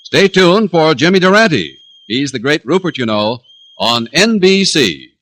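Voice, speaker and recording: A man's voice: fairly high pitch, very animated, unhurried, fairly bright tone. A man with an American accent reading a scripted 1950s radio announcement.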